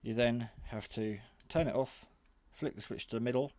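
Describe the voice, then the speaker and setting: A man's voice: medium pitch, steady tone, normal speed, darkish tone, no echo. A man giving a casual, hands-on product demonstration in a hesitant, rambling, matter-of-fact way. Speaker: hobbyist radio reviewer. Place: garage.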